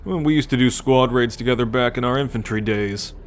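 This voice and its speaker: A man's voice: mid-range voice, brisk, slight background noise, natural voice. A man voicing a cocky, teasing alien military scout and possessive captor in an ASMR roleplay, boastful and sardonic with slangy swearing. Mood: brash, teasing. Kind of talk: ASMR roleplay acting addressed to the listener.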